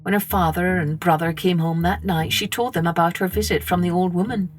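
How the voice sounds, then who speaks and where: A woman narrating a scripted children's story in an expressive storytelling manner. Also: lowish voice, fairly quick, faint music behind. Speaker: storytelling podcast host and narrator.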